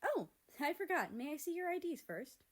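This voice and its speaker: A woman's voice: sits high, sing-song, moderate pace, voice pushed up. A woman voicing a perky, polite waitress in a story reading, chirpy and eager to please. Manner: chirpy, apologetic customer-service politeness.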